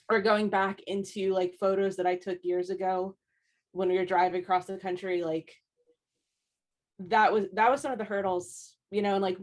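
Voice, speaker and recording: A woman's voice: medium pitch, darkish tone. A woman artist from the American East Coast talking casually on a panel, chatty and full of fillers. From United States East Coast. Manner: chatty, heavy use of "like" and "um". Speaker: comic artist.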